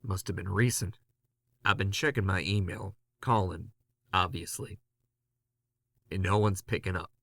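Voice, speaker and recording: An actor playing a haunted, panicked man recording a halting, anguished confession in a horror audio drama. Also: deep voice, fairly even intonation, gravelly, fairly bright tone, exaggerated voice. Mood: shaken, grim. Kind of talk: first-person horror audio drama monologue.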